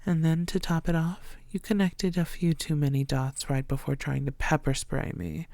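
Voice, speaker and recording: Female vampire, played by a woman, intimate roleplay audio. Lowish-key menace, flirtatious and possessive, gravelly fry, very dry close sound, breathy half-whispers. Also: little pitch movement, dark tone, no room sound. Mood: menacing, coaxing. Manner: teasing, breathy half-whispers, slow deliberate delivery.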